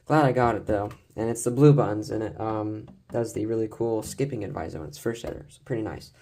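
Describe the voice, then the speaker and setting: A man's voice: fairly low pitch, fairly quick, vocal fry, dark tone. A man giving a casual, rambling vlog-style showcase as an enthusiastic collector, often stumbling over his words. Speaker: collector showing weather radios and scanners.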